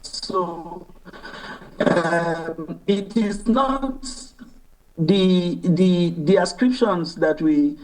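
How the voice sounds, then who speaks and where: A man with a Nigerian accent, explaining as an interview guest in a deliberate, halting, emphatic way, recorded at some distance from the mic. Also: high voice, a little mellow. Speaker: interview guest, newspaper editor and journalist. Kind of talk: conversational interview commentary.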